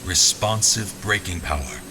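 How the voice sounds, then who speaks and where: A man playing a seasoned general who narrates his sea adventure in a measured, literary, dramatic style for an audio drama. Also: low-pitched, noisy background, lightly acted. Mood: grave, tense. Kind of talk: dramatic first-person scripted narration. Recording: music under the voice.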